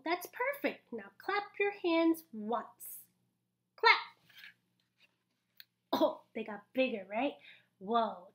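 High, animated young woman reading to children. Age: young adult. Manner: animated, exaggerated, encouraging, with audible gasps and pauses. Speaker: story-time host reading a picture book aloud. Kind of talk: interactive read-aloud for young children. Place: small indoor room.

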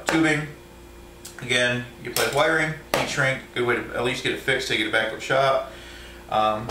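A man's voice: medium pitch, pushed and bright, distant mic, slight background noise. A man, a truck driver, casually showing his tools with lots of ums and restarts, recorded at home by a camera set up overhead. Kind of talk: casual show-and-tell of tools. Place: indoor room at home. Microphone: camera set up overhead, a few feet from the speaker. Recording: echoey room, voice a bit off mic.

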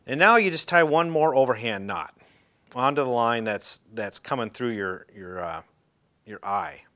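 A man's voice: mid-range voice, animated, moderate pace, sharp tone. A middle-aged man giving a casual, folksy how-to demonstration, talking loosely with fillers and restarts while seated indoors. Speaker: fishing instructor. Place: indoor room, seated in a chair.

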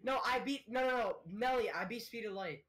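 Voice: medium pitch, fairly quick, fairly bright tone. An exasperated, insistent young-sounding speaker in a casual online group voice call.

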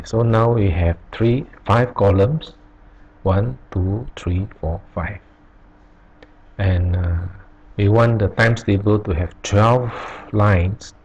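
A man teaching programming over a screen recording, explaining each step slowly with pauses. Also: lowish voice, darkish tone, slight background noise. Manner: slow, halting explanation with pauses. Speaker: programming tutor. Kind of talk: screen-recorded coding tutorial. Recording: dull lo-fi recording.

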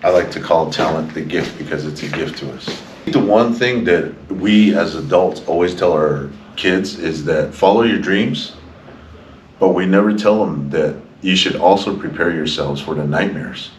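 A man's voice: deep voice, moderate pace. A man from New Mexico giving reflective, conversational answers as a performer in an interview, recorded at a distance. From New Mexico, United States. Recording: roomy, distant mic, clear background noise.

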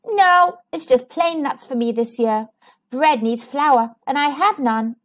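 A woman voicing a small, sweet, excitable female squirrel in a children's storybook, with gushing emphasis. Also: sits high, unhurried. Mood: glum.

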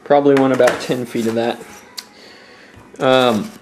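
A man's voice: mid-range voice, fairly even intonation, measured pace, darkish tone, a little hiss or hum, poor audio. Casual young man explaining a DIY build. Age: young adult. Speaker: DIY tutorial presenter. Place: indoors in a home. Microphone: handheld camera at close range.

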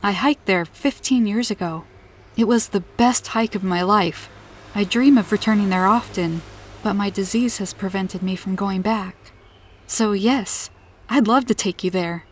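A woman voicing a warm, eager, chronically ill woman who befriends an alien in a story, expressive and open, over background noise. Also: medium pitch, measured pace, lightly acted. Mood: wistful.

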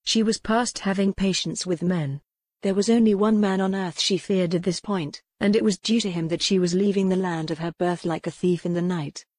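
A woman's voice: on the low side, fairly even intonation, moderate pace, darkish tone, no echo, clean studio sound. A woman reading scripted narration as an audiobook narrator of historical fiction.

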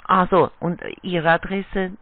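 A man working as a call centre sales agent, taking an order with halting, non-native phrasing. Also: high-pitched, little pitch movement. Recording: tinny, band-limited phone line.

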